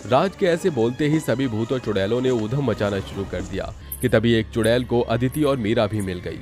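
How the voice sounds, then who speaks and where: A man tells a scripted animated horror tale as its narrator. His voice sits at a middle pitch, with background music underneath. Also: moderate pace. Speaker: story narrator.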